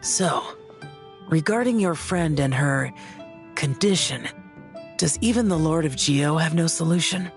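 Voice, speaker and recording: A woman's voice: sits low, lively intonation, measured pace, faint music behind. A woman voicing a stern, reserved young male immortal demon slayer with formal, terse, solemn delivery in a video game.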